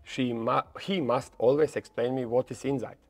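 Croatian football coach as guest, gravelly, halting broken English, lapel mic in a studio. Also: male voice, mid-range voice. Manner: halting, repeats words, lively when telling stories. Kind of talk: casual interview in non-native English. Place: dark studio set with red armchairs.

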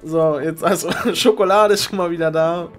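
A man's voice: a little high, steady tone, normal speed. A man hosting a Minecraft game show casually and comically, informal, sweary and giggly in his exasperation. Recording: music behind the voice. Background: music.

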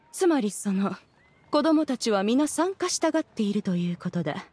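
A woman's voice: a little high, big pitch swings, measured pace, mellow, breathy edge, no echo, full character voice. A woman voicing a haughty ancient female immortal in a video game, speaking in an archaic, imperious, formal manner. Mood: proud, dignified.

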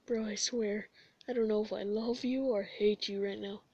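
A woman's voice: mid-range voice, fairly even intonation, moderate pace, warm tone, breathy edge, dry recording, highs cut off, voice pushed down. Comic-dub skeleton brother, female performer: sleepy, gloomy, hesitant, sighing, close and dry. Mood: weary.

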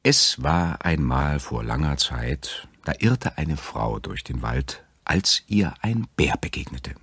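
A man's voice: extremely low pitch, expressive, takes it slowly, fairly bright tone, husky, no room sound. A man narrating a fairy tale as scripted storytelling.

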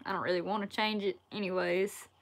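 A woman in her twenties with a Southern Alabama accent, chatting casually on a livestream and answering viewer questions in a relaxed, folksy way from a wood-paneled room. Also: mid-range voice, brisk. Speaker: outdoors YouTuber answering live chat. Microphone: laptop or phone camera at arm's length.

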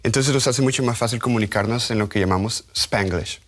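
Guest scientist, male, 30s, Honduran with American tinge, English-native, studio lapel mic, halting. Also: medium pitch, fairly bright tone. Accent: Honduran Spanish with American English. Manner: halting, restarts words, gestures while talking. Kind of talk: studio interview.

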